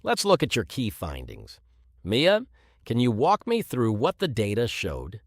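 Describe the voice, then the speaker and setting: A man's voice: medium pitch, huge pitch swings, a little slow, no room sound, studio quality, full character voice. A man playing an exacting academic supervisor in a scripted listening exercise, speaking precisely and with authority. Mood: measured, approving. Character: male professor supervising students, exacting and measured. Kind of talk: scripted exam listening dialogue.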